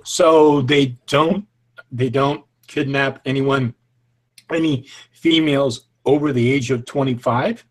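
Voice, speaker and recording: Husky joking man in his twenties. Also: medium pitch, fairly even intonation, takes it slowly. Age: 20s. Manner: joking, laughs often. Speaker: podcast co-host. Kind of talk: casual comedic chat.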